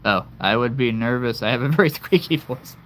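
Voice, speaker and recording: A man's voice: mid-range voice, normal speed, slight background noise. A man hosting a livestream in casual chat, laughing often and stammering over repeated words. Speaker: livestream host.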